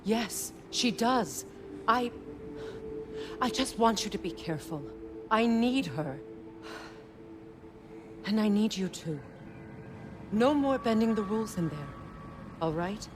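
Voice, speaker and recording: A woman's voice: medium pitch, lively intonation, slow, long pauses, over music. A woman playing a stern but caring leader in a video game, protective and worried, with a firm, earnest manner. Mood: worried, pleading. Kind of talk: dramatic video game acting.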